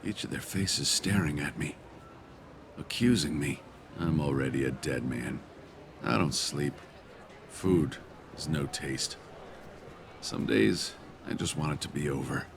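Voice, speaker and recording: A man's voice: a bass voice, lively intonation, moderate pace, vocal fry, few pauses, background noise, exaggerated voice. A man playing a guilt-ridden, evasive traitor in a video game, with an edgy, haunted manner. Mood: haunted, defeated. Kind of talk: video game dialogue acting.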